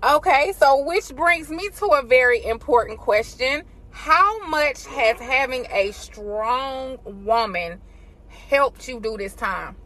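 Bright, high-voiced podcast hostess. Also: female voice, lively intonation, takes it slowly, rough recording. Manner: affirming, lots of "yeah" and "I agree". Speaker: podcast host. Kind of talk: casual interview.

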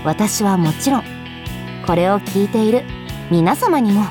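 A woman's voice: medium pitch, music in the background, natural voice. A woman acting in a radio drama as a flustered, headstrong office worker in her twenties who is secretly in love, with emotive delivery. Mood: narrating. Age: young adult. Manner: animated, emotive, quick to protest. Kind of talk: Japanese radio drama acting with inner monologue.

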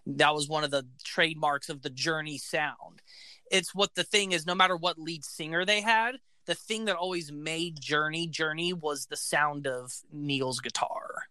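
High-voiced man, bright and dry, eager podcast host reeling off band facts. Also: normal speed, clean tone, dry recording. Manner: enthusiastic, fact-filled, says "like" often. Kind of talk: casual music chat.

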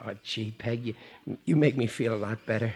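An actor playing a touchy, slangy working-class husband of about forty, grumbling about aging in an old radio sitcom. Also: male voice, mid-range voice, fairly quick, a little mellow, slightly rough, close to an everyday voice. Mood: tender, sheepish. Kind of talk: 1940s-50s radio sitcom acting. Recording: vintage radio show recording.

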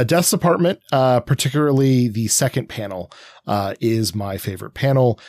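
A man's voice: fairly low pitch, a little mellow, no echo. A middle-aged man co-hosting a podcast with casual, analytical commentary, wandering into asides as he builds his point. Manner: discursive, self-interrupting asides. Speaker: podcast co-host.

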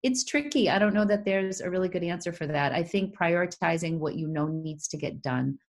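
A woman's voice: on the low side, fairly quick. A woman in her forties from Massachusetts, co-hosting a podcast in rambling casual chat with frequent laughs. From Massachusetts, USA. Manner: chatty, rambling, laughs often. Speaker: podcast co-host.

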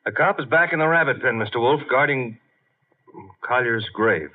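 An actor playing a tough, brisk, slangy detective's assistant in a 1950 radio drama. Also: male voice, mid-range voice, close to an everyday voice. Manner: slangy, wisecracking, animated. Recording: muffled old 1950 radio broadcast.

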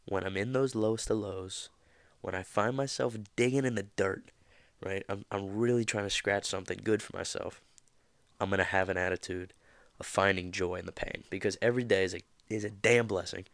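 A man's voice: sits low, fairly even intonation, fairly quick, creaky, dry recording. A man hosting a motivational podcast, talking in a casual, rambling way with many fillers. He is a little nasal from a slight cold. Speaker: podcast host.

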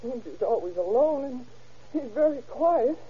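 An actress playing a shaken woman witness in an old-time radio crime drama, speaking in halting, repeated phrases. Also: high voice, expressive, dark tone, breathy edge, heavily acted. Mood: shaken, hesitant. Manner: halting, faltering, repeating herself. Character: shocked, distraught woman witness to a murder. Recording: muffled, noisy old radio recording.

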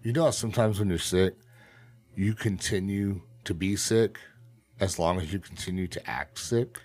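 A man's voice: low-pitched, moderate pace, a little mellow. A young man co-hosting a casual podcast while sick, congested and sniffly, breathing heavily. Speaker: podcast co-host. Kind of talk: casual chat.

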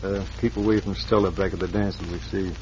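An actor playing a ruthless, scheming Old West gang boss in a radio drama, American, terse and commanding. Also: male voice, sits low, flat delivery, fast, darkish tone, lightly acted. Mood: cold, scheming. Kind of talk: old-time radio western acting. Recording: muffled, very noisy vintage radio recording.

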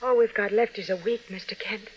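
An actress playing an anxious, devoted young fiancée, hesitant and pleading, in an old radio drama. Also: female voice, mid-range voice, steady tone, fairly quick, natural voice, rough recording. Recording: old radio recording, faint noise.

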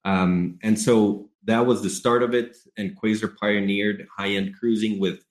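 A very low-voiced man from Ecuador, a native Spanish speaker who talks with an American English accent. He explains his business in a measured, businesslike way, with frequent ums, and his voice carries a roomy echo. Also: little pitch movement. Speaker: guest, travel company executive. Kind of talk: podcast interview.

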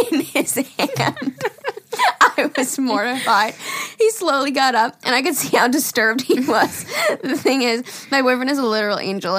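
A woman's voice: fairly high pitch, fairly bright tone. A young woman co-hosting a casual podcast, reading listener stories aloud and joking crudely with lots of giggling. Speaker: podcast co-host.

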